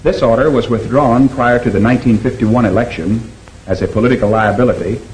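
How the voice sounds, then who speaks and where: A man reads 1950s documentary narration at a middle pitch. His delivery is steady and grave, and he explains each point plainly. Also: a little hiss or hum, poor audio.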